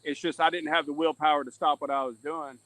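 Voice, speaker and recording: A man's voice: on the high side, little pitch movement, fast, a bit bright. A middle-aged man telling his own story as a podcast guest, earnest and candid, circling back and repeating his points. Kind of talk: candid personal testimony in conversation.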